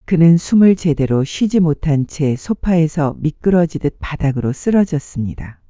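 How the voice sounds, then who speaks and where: A narrator reading a dramatic story in a measured, sentimental storytelling manner. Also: mid-range voice, sing-song, mellow, dry recording, dull audio. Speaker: audiobook narrator.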